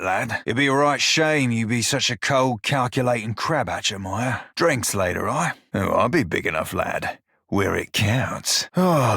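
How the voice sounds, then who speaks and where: An actor playing a roguish, bawdy, furry blue pirate in a video game, drawling salty sailor slang with a teasing, wry manner and a rough-edged voice. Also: male voice, medium pitch, a bit bright, dry recording, studio quality, exaggerated voice. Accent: stylised pirate dialect. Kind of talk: video game character dialogue.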